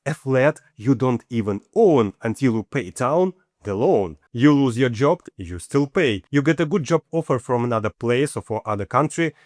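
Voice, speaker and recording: A man's voice: mid-range voice, expressive, moderate pace, few pauses, darkish tone, no echo. Ukrainian guy in his 40s doing a money vlog, plain matter-of-fact talk, dry close sound. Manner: matter-of-fact, walks through figures step by step. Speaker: channel host. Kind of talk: personal finance vlog.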